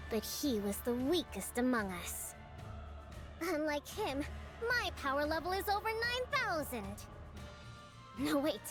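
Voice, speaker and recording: A woman's voice: high voice, full character voice. A woman playing a cocky, mocking robot villainess with theatrical boasts in an English game dub. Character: cocky taunting robot villainess, one of four heavenly kings.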